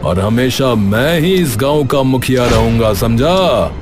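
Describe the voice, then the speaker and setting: A greedy cartoon village boss played by a man, cruel and gloating. His pitch swings widely while the pacing stays slow, and music plays behind his threats. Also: animated. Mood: boastful, domineering. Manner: menacing, taunting, gloating. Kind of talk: cartoon story dialogue.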